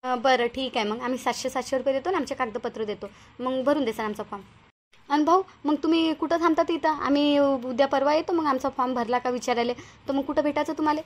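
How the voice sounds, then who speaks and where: Woman voicing a cautious village leader lady, high voice, quick, rural Vidarbha Marathi accent, homely, questioning, cartoon dialogue. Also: natural voice. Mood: agreeing.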